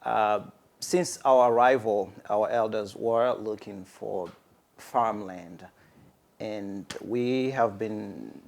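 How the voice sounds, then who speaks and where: Measured man from Somalia. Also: lowish voice, creaky, darkish tone. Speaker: farmer and community organizer. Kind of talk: speaking at a live event.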